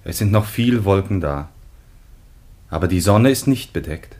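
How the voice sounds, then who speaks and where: An actor playing the devoted, sorrowful son of a dying patriarch in a classic German radio drama, speaking earnestly. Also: male voice, deep voice, expressive, a little slow, a little mellow. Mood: grave. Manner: solemn, earnest.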